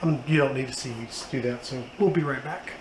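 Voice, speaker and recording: A hoarse man, hosting a casual unboxing vlog with plenty of fillers. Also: medium pitch, a little fast. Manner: chatty, self-deprecating, many fillers. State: hoarse, losing his voice. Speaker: car channel host. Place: outdoors then indoors at home. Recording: echoey room with background noise.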